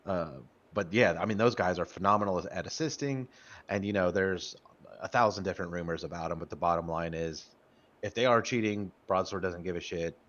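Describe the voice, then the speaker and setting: Deep-voiced rambling man, lo-fi audio. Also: fairly quick, fairly bright tone. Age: 30s. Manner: rambling, stammering restarts, swears freely. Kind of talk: casual gaming chat. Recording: muffled lo-fi audio.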